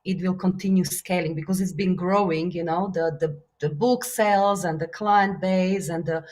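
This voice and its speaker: A woman's voice: on the low side, fairly even intonation, moderate pace. Slovak woman, earnest and reflective. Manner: earnest, reflective, many fillers, laughs softly. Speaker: guest, business author and coach. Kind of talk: casual interview talk.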